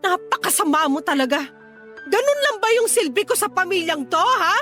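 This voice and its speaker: Very high-voiced, indignant Filipino wife. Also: female voice, sing-song, normal speed, pushed and bright, heavily acted. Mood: indignant, angry. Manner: pleading, reproachful, emotional. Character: hurt, indignant ailing wife and mother, middle-aged. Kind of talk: Filipino radio drama acting. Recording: faint music bed behind voice.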